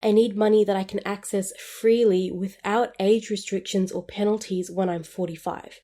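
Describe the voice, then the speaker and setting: A woman's voice: mid-range voice, fairly even intonation, moderate pace, dark tone. A woman in her twenties hosting a solo podcast, explaining things in an upbeat, friendly way. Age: 20s. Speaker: podcast host. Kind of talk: scripted solo podcast on personal finance.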